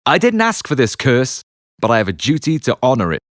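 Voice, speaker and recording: Expressive man narrating, lively and dry. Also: medium pitch, no room sound. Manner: expressive, confessional storytelling with dramatic pauses. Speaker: author and musician reading own audiobook. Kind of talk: scripted memoir narration. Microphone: close, dry studio narration mic.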